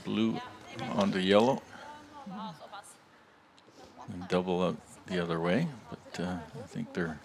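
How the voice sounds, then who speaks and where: A man giving live curling commentary with animated play-by-play calls. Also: low voice, normal speed, gravelly. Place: curling arena. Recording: arena crowd noise behind.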